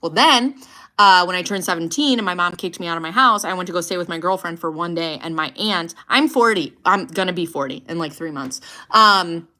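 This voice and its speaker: A woman in her thirties from the United States, chatting on a livestream in a loose, rambling way with plenty of swearing. Her voice is bright and forward, sitting a little low for a woman. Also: brisk. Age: 30s. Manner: chatty, swearing, laughing often. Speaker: livestream host. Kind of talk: casual livestream chat reading comments.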